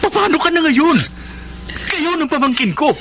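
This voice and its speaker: A man playing a hot-headed, protective older brother with forceful, vengeful delivery in a Filipino radio drama. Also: very high voice, animated, moderate pace, a little hiss or hum, full character voice. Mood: worried.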